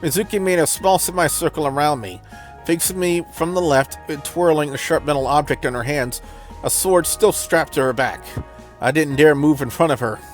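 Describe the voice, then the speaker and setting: A man's voice: on the high side, normal speed. A man hosting a let's play, reading visual novel narration aloud in a dramatic storytelling style. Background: music.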